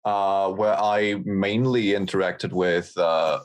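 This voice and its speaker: A man with a non-American accent recounting things casually and haltingly as a podcast guest. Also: low voice, steady tone.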